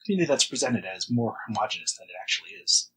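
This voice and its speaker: A man hosting a casual podcast interview in a measured, understated, slightly hesitant way. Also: medium pitch, big pitch swings, a bit bright, breathy edge, low-quality recording. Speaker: podcast host.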